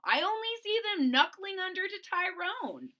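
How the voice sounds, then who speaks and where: A woman's voice: very high-pitched, big pitch swings, normal speed, sharp tone, voice pushed up, heavily acted. A woman voicing a stubborn young male dinosaur in a storybook, giving indignant, emphatic retorts. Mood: defiant, indignant.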